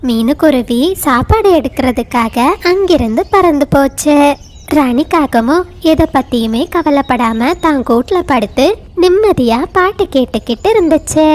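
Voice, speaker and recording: A woman's voice: sits high, lively intonation, normal speed, a little mellow. A woman narrating a scripted moral story for children.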